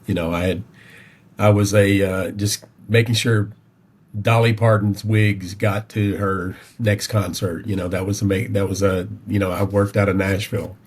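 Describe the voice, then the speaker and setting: Low-voiced Southern man telling his story. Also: level tone, moderate pace, husky. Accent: Southern American, Tennessee. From Tennessee, United States. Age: middle-aged. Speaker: podcast guest. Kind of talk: conversational personal storytelling.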